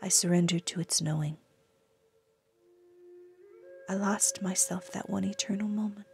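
A woman recites her own poem in a breathy, often whispery voice, with deliberate, reverent phrasing and pauses. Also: medium pitch, fairly even intonation, mellow, faint music behind. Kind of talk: slow contemplative poetry recitation.

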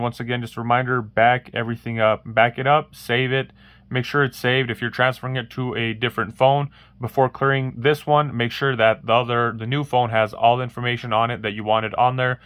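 Man, tech tutorial host, monotone, rambling with fillers, casual walkthrough, dry room. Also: mid-range voice, no echo. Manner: rambling, many fillers and restarts. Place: indoors at a wooden table, voice-over of hands-only phone demo.

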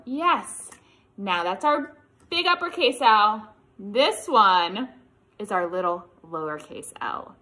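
An American woman in her thirties leading a children's storytime, with an exaggerated, encouraging way of talking to young kids. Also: mid-range voice. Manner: animated, encouraging, exaggerated child-directed delivery with questions. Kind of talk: preschool lesson and picture-book read-aloud.

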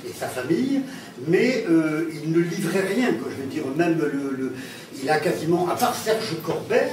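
A man's voice: on the high side, animated, moderate pace, background noise. Man in a very echoey room, distant mic. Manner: hesitant, many fillers and restarts. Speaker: panel guest recalling an actor. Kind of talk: anecdotal conversation. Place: classroom with chalkboard, indoors.

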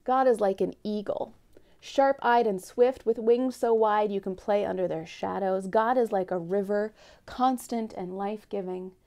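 A young woman giving a gentle Lent reflection at home and reading a picture book aloud, speaking softly and often near a whisper into a lapel mic. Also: medium pitch, steady tone, normal speed. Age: young adult. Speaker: church speaker. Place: home living room, indoors.

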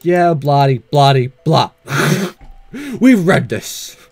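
A man hosting a casual let's-play, chatting to viewers in a halting, conversational way. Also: on the high side, animated, dry recording. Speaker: gaming channel host.

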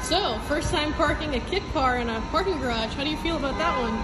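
A woman's voice: sits high, fast talker, loud music behind the voice, low-quality recording. A young woman filming a road trip, chatting with a cheerful, teasing tone. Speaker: co-host.

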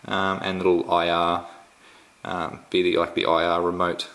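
An Australian man giving a casual unboxing walkthrough at home, halting and full of ums and restarts. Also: sits low, monotone, fairly bright tone. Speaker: tech reviewer. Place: indoors at home, filming the box up close.

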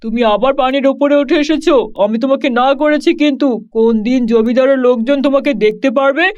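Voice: high-pitched, moderate pace, darkish tone, highs cut off, natural voice. An actor voicing a humble, simple young cowherd boy in a children's cartoon, speaking in a plain, folksy manner. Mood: worried, scolding.

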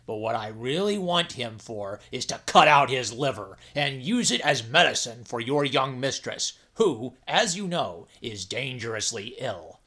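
A man voicing a blustering dragon king who exclaims loudly. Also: mid-range voice, animated, a little slow, hardly any pauses. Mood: commanding. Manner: loud exclaiming.

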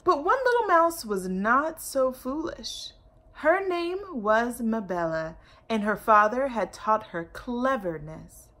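A woman's voice: on the high side, lively intonation, slow, a bit bright. A young woman reading a children's story aloud in a careful, teacherly way, with asides to young listeners. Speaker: storytime reader. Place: indoor room at home.

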